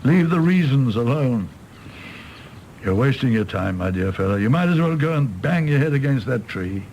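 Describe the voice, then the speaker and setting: Actor as a cynical, creaky-voiced British doctor. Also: male voice, mid-range voice, expressive, normal speed, darkish tone, slight background noise, lightly acted. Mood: wry, cynical. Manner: wry, rambling, theatrical musing. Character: cynical, world-weary doctor, a fallen idealist, teasing and philosophical. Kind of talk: British radio play acting.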